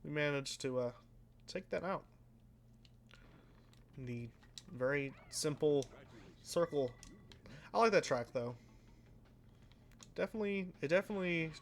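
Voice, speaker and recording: A man casually commenting while he plays a racing game, rambling and self-deprecating, with a flat, slightly nasal voice that trails off into mumbles. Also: on the high side, a little slow, creaky, long pauses. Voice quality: sounds flat and a bit nasal, by his own account. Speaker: let's play host.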